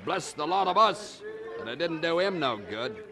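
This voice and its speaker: A salty, protective sea captain in an audio drama, speaking in a slightly high voice. He is blunt and folksy, with crowd bustle all around. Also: sing-song, normal speed. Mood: chatty.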